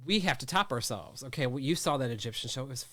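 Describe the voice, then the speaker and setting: A man's voice: mid-range voice, lively intonation, fast, pushed and bright, no room sound. Rambling man in his thirties, dry sound. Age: 30s. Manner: rambling, restarts often, enthusiastic. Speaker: magazine editor and producer. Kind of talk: casual interview chat.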